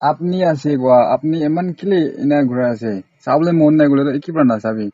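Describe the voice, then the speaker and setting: A man's voice: on the high side, a little fast, warm tone, no echo. A man on a casual, confrontational phone call, scolding and accusing insistently. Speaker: prank caller.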